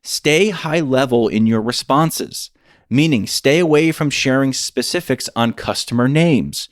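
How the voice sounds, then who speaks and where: A man with a mid-pitched voice hosts an explainer podcast in a close, dry recording. He follows a script in an instructional manner, laying out advice step by step and speaking straight to his listeners. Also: unhurried, no echo. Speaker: podcast host and business advisor.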